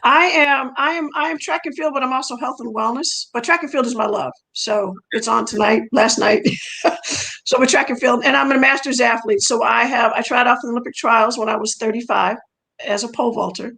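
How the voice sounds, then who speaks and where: A woman's voice: fairly high pitch, steady tone, fairly quick, creaky, pushed and bright, rough recording. A middle-aged woman whose first language is French, a track coach telling her story conversationally as an interview guest in a chatty, rambling way that repeats phrases for emphasis.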